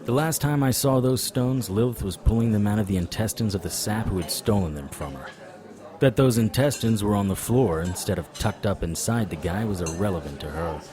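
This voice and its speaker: A man's voice: lowish voice, animated, fairly quick, crowd noise, natural voice. An actor playing a hard-bitten male monster hunter, narrating noir-style in first person with dry, wry delivery.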